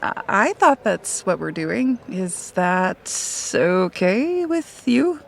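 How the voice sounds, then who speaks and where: Teasing, lively young date. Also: female voice, mid-range voice, slow, creaky, a little hiss or hum, exaggerated voice. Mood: casual, sincere. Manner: teasing, wry, casual. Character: flippant, teasing, playful young woman on a date. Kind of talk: naturalistic romcom audio drama dialogue.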